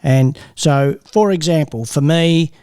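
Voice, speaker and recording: A man's voice: a little high, slow, darkish tone, clear, resonant tone, dry recording, studio quality. A man hosting a podcast, chatting casually and encouraging his guests. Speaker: podcast host. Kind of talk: casual interview.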